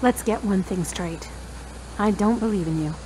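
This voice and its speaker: A woman's voice: mid-range voice, normal speed, a little mellow, natural voice, low-quality recording. Lady playing a blunt, hurt young woman in an audio drama, mid voice, bit of background noise. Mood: reproachful, pleading. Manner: frank, searching.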